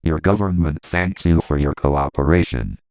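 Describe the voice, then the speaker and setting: A dull, band-limited broadcast of an actor as a stern government official with an extremely deep, smooth male voice. He delivers a formal emergency statement in measured, authoritative phrases. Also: steady tone, no room sound. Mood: stern, grave. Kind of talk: scripted emergency broadcast announcement.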